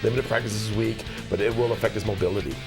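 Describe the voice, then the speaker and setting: A man running through football injury reports on a sports talk show in a brisk way. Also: on the low side, steady tone, music in the background. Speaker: football analyst.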